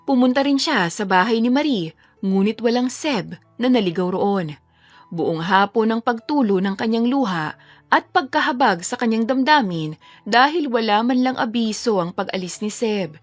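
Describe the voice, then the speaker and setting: A woman's voice: a little high, lively intonation, normal speed, dry recording, soft background music. A woman reading a scripted romance story as a dramatic narrator.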